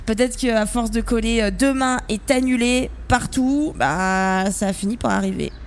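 Woman as cheeky, high-voiced young activist. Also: moderate pace, a little hiss or hum, natural voice. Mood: resigned. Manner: casual, slangy, teasing. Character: cheeky, laid-back young woman activist, teasing sidekick. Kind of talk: French audio fiction acting.

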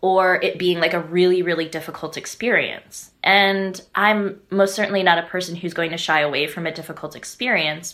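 Woman from the Midwest, podcast guest; earnest, reflective, a touch low-pitched. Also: sharp tone. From American Midwest. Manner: thoughtful, earnest, peppers speech with "like" and "right?". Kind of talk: reflective interview talk.

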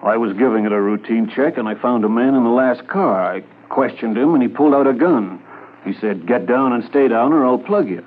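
A man's voice: mid-range voice, brisk, natural voice. An actor playing a dutiful railway policeman in a 1950s radio drama, giving a clipped, factual report. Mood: urgent, factual. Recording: tinny old radio with faint noise.

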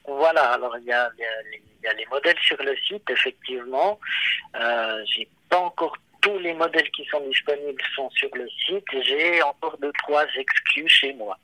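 Bright-voiced Swiss French man, halting. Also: mid-range voice, dry recording, poor audio. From Switzerland. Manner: hesitant, many fillers and restarts. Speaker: watchmaker and guest. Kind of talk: casual interview.

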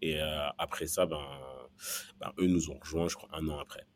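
A man's voice: extremely low pitch, flat delivery, moderate pace. A man from Belgium telling his personal story as a podcast guest, hesitant and often repeating words as he searches for phrasing. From born in Central Africa, raised in Belgium from early childhood.

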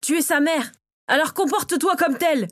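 A woman's voice: sits high, moderate pace, fairly bright tone, no echo, voice pushed up. Storybook father, played by a female narrator: firm, protective, indignant, closely dry.

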